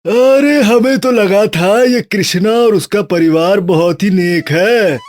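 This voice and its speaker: A man's voice: sits high, slow, darkish tone, faint music behind. A man voicing a judgmental, gossiping villager, scornful and exclamatory, in a cartoon moral story.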